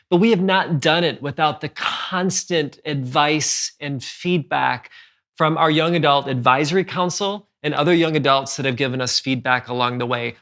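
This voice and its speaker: Man hosting a podcast, slightly creaky voice. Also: a little high, fairly bright tone. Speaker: podcast host.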